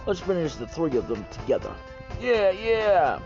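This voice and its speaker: A man's voice: high-pitched, sing-song, moderate pace. A man voicing a menacing male bandit from a video game, threatening and sneering as he speaks. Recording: muffled, music behind.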